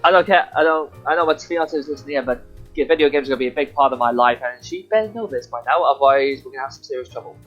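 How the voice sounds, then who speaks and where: A man's voice: medium pitch, very fast, a bit bright. A young man co-hosting a casual live gaming stream, jokey and rambling with fillers. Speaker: co-host. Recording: dull lo-fi mic, faint music.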